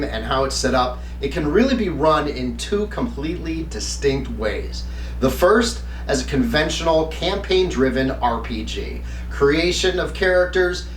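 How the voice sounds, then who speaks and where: Give the voice of a man presenting a review to viewers, pitched a little high. He explains with enthusiasm, pausing and stressing words as he goes. The sound is very roomy and a bit off the mic, with faint noise underneath. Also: recorded from a distance. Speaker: host of a game review channel. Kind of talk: semi-scripted review talk to viewers.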